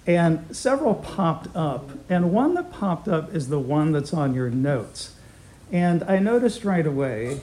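A middle-aged man teaching a Bible class, opening with a prayer and then lecturing in a conversational way, chuckling now and then. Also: on the high side. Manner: warm, conversational, chuckles often, with fillers.

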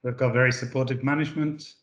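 A man's voice: mid-range voice, fairly even intonation, quick pace, fairly bright tone, reverberant, highs cut off. A man from the UK, a managing director answering interview questions with many fillers and restarts. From United Kingdom. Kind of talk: corporate podcast interview.

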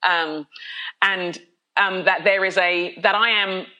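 A woman's voice: on the low side, steady tone, takes it slowly, bright, forceful tone, poor audio. A middle-aged woman from London, a pastor and podcast guest, talking candidly with energy and frequent laughs, often restarting her sentences. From London, of Nigerian heritage. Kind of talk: candid conversational interview.

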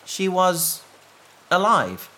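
A man's voice: high voice, very animated, drawn-out words, bright, forceful tone, a little hiss or hum, heavily acted. An actor playing an earnest, naive male space researcher in a sci-fi audio drama, speaking politely and a little pompously. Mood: earnest, hopeful.

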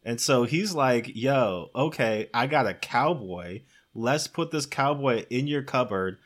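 A man's voice: medium pitch, lively intonation, a little slow, a bit bright. A man with a mid-range voice recaps a movie on a podcast. His delivery is casual and comedic, full of wry disbelief, and he often laughs as he tells the story. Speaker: podcast co-host recapping a film.

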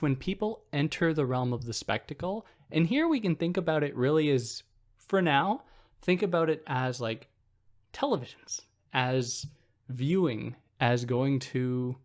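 A man hosting a philosophy podcast, explaining a text in a relaxed, conversational way and thinking aloud with fillers and restarts. He speaks in a soothing voice. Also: mid-range voice, unhurried. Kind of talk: casual explanatory lecture.

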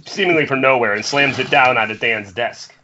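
A man's voice: mid-range voice, fairly quick, sharp tone. A man reading screenplay stage directions aloud as narrator of a table read. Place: at home on a group internet call. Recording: muffled, noisy internet call with clicks.

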